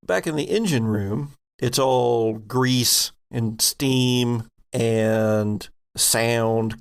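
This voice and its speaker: A man improvising narration as game master of a tabletop game, descriptive and halting, with pauses mid-sentence. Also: mid-range voice, creaky, clear, resonant tone.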